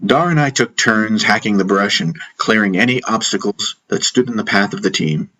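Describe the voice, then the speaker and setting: A man's voice: lowish voice, moderate pace, sharp tone, poor audio. A man reading a scripted first-person story as an audiobook narrator, with music behind him. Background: background music.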